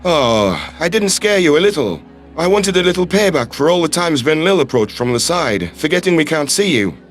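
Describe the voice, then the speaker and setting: A man's voice: fairly high pitch, big pitch swings, moderate pace, soft background music, pitched below the performer's own voice. A man voicing a playful, romantic human ambassador who speaks with shy self-deprecation in a sci-fi audio story.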